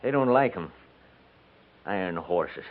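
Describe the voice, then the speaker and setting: An actor playing a stubborn, weary frontier homesteader in a 1950s radio western, with terse, folksy rustic speech. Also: male voice, deep voice, big pitch swings, takes it slowly, exaggerated voice. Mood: bitter. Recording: noisy, tinny 1950s radio recording.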